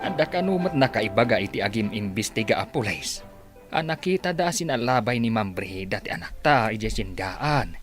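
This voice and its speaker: Man acting an animated, suspicious village father. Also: on the low side, normal speed, soft background music, close to an everyday voice. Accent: Ilocano. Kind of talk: Ilocano radio comedy drama acting.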